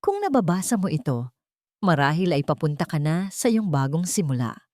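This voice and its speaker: A woman's voice: low-pitched, sing-song, normal speed, darkish tone, dry recording. Woman reading as a proud parental general, tender, solemn, storybook drama, dry. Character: proud, affectionate parental general writing a letter.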